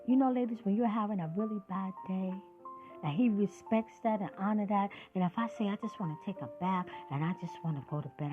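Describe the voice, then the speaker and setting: A sixty-year-old woman talking with real passion, her voice swinging high and low as she drives each point home. The sound is a little dull and lo-fi, with faint music underneath. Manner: emphatic, preachy, lively rises and drops. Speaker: podcast host. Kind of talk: passionate monologue.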